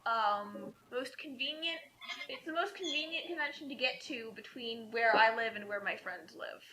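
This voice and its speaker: A woman's voice: fairly high pitch, normal speed, pushed and bright, a little hiss or hum, low-quality recording. An American woman co-hosting a casual group chat, talkative and wry. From United States. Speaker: co-host.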